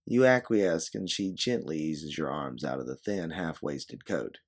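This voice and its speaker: A man's voice: rumbling low, lively intonation, a little slow. A man reading scripted second-person fiction as its narrator. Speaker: narrator and writer.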